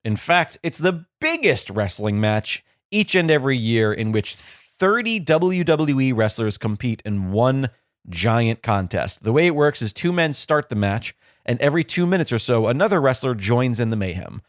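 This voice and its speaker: A playful young man hosting a podcast from home, his voice a little stuffed up and nasal. His pitch swings lively from line to line as he jokes with his co-host. The sound is close and dry, with no room in it. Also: normal speed, no room sound. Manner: playful, joking, animated. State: congested and nasal. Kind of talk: casual podcast chat. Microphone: studio microphone.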